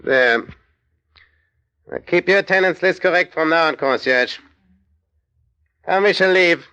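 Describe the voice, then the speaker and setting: An actor playing a curt, commanding officer who interrogates brusquely in an old-time radio drama. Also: male voice, fairly high pitch, measured pace, sharp tone, clean tone. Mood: stern, suspicious. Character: curt, commanding male officer in occupied France.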